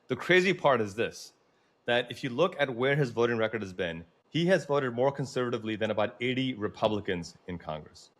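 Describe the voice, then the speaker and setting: A man's voice: medium pitch, a bit bright. An American man hosting a political interview, speaking in an emphatic, opinionated way as he advocates. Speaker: host.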